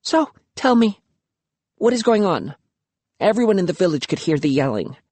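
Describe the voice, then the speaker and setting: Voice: medium pitch, sing-song, normal speed, darkish tone, slightly rough, no room sound, pitched above the performer's own voice. A narrator voicing a bold, teasing young girl. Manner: playful, insistent.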